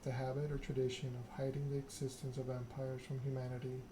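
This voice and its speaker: Male ASMR streamer, mid-pitched and flat in intonation, measured halting delivery, noisy ambient recording. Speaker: game streamer, host. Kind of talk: soft-spoken ASMR reading and stream chat.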